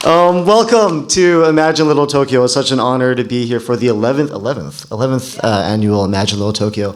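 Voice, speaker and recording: Male, mid-pitched, event host, informal, chatty, jokey, audience-directed, live stage delivery. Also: fast, slight background noise.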